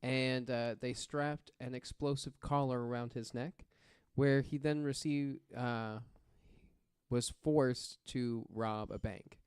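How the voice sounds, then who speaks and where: A man with a clear, smooth voice of medium pitch tells a story in a loose, conversational way. He is recorded close and dry, feeling his way through it with joking asides. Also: fairly even intonation, slow pace, a little mellow, no room sound. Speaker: podcast guest storyteller. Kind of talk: casual storytelling.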